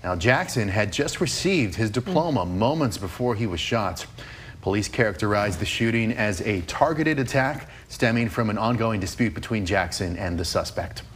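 A man news anchor reading headlines in a steady, formal delivery. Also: lowish voice, moderate pace, a little hiss or hum.

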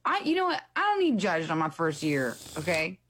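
A woman's voice: low-pitched, wildly swooping pitch, moderate pace, a bit bright. A young woman co-hosting a radio morning show, giggly and teasing in casual banter. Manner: giggly, self-deprecating, teasing. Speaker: radio co-host. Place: radio studio.

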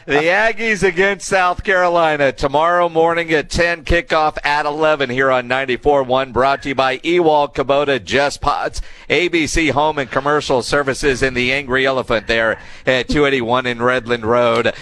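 A man's voice: medium pitch, fairly even intonation, moderate pace, hardly any pauses, a bit bright. A man hosting casual sports talk radio, putting questions to a guest in a joking, teasing way.

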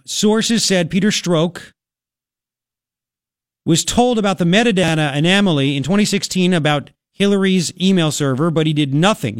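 A man's voice: fairly high pitch, no echo, clean studio sound. A man with a Long Island, New York accent hosting opinionated political talk radio, emphatic and colloquial, repeating phrases for effect.

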